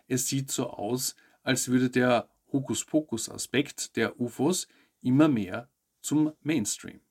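A man hosts a news show, reading scripted narration with personal commentary in a measured, articulate way. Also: mid-range voice. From Austria. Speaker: host of a weekly UFO news show.

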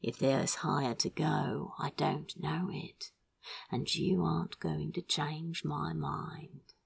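A woman voicing a besotted, teasing male sorcerer in an audiobook, speaking tenderly in a breathy, half-whispered murmur. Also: low voice, steady tone, slow, recorded from a distance, slight background noise, heavily acted. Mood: insistent, loving.

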